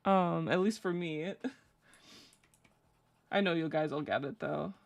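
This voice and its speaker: A young woman with a low, gravelly voice talks loosely through a live drawing stream. She rambles with plenty of "like" and "um" and laughs easily. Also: slow pace. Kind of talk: casual live-stream chat while drawing.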